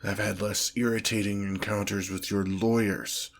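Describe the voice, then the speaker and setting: A man's voice: lowish voice, level tone. A man voicing a haughty, exasperated demon lord in a fantasy audio roleplay, speaking formally and pompously. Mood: reluctant, irritated. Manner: pompous, formal, slow deliberate phrasing with irritated sighs. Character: haughty, exasperated male demon lord, grandiose but petty. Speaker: character performer in roleplay audio. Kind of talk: scripted ASMR fantasy roleplay, one-sided dialogue.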